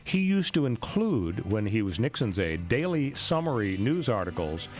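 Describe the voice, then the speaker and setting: A man's voice: on the low side, very animated, normal speed, soft background music. A man hosting a talk radio show, giving conversational political commentary.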